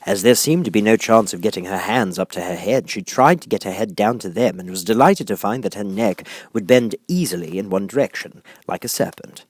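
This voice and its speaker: A man reading as an audiobook narrator, in an expressive scripted storybook style. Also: lowish voice, brisk, hardly any pauses, husky, no echo.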